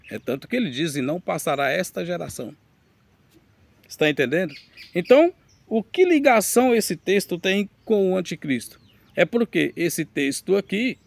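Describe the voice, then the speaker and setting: A preacher man with a slightly high, gravelly voice teaches from scripture. He reads verses aloud and turns to his listeners with emphatic direct questions. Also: dry recording. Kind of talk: teaching sermon with Bible reading.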